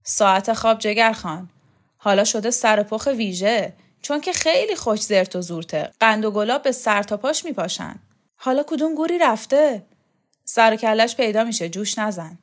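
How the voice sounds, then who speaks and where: A woman's voice: on the high side, animated. A woman voicing a blunt, coarse middle-aged strongman who scolds and swears. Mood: angry. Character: tough, blunt middle-aged male strongman, scornful and gruff.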